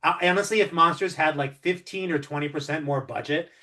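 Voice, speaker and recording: A man's voice: fairly high pitch, a little fast, sharp tone. A man working as an interviewer, chatting casually with a film fan's enthusiasm. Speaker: interviewer, podcast host.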